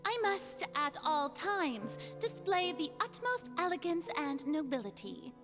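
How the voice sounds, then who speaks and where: An actress playing a regal, theatrical young goddess in a video game, with formal, elegant diction. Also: female voice, sits high, very animated, measured pace. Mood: haughty, flustered. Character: theatrical goddess, regal, solemn yet grandiose young woman. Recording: game dialogue under loud music.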